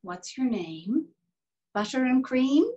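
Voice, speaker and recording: Actress reading a brisk young Irish girl, lively, scolding. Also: high voice, dull audio. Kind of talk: dramatic reading of a novel. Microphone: video call.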